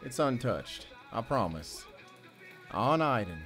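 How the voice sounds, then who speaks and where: A man's voice: mid-range voice, very animated, fairly bright tone, faint music behind. Man playing a guarded, folksy ex-convict handyman. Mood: gently teasing. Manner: measured, folksy, with drawn-out pauses. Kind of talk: audio drama acting.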